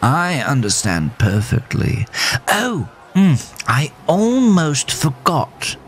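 A man's voice: a little high, huge pitch swings, slow, a little hiss or hum, voice pushed up, heavily acted. A man voicing a genteel, eccentric horse in a tuxedo, speaking in prim, theatrical, old-fashioned formal phrases for a horror audiodrama. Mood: politely apologetic. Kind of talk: horror audiodrama character acting.

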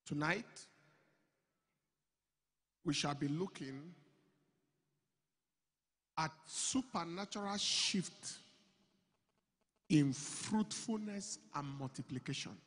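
A middle-aged man preaching a sermon into a handheld mic on a large church stage. He goes from slow, measured phrases to loud, emphatic declarations. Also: on the high side, lively intonation. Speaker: pastor. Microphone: handheld microphone. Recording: long hall reverb, slightly breathy.

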